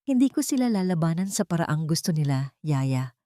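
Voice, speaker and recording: A woman storyteller with a Filipino accent voicing a wronged young woman, soft but steady and coldly determined. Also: low voice, normal speed, no echo. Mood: cold resolve.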